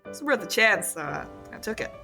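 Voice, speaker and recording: A woman's voice: medium pitch, very animated, fairly quick, vocal fry, faint music behind, full character voice. A woman playing a genial, modest male inventor who talks proudly and a little hesitantly in a radio drama. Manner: hesitant, thoughtful, quietly proud. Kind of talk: scripted radio drama acting.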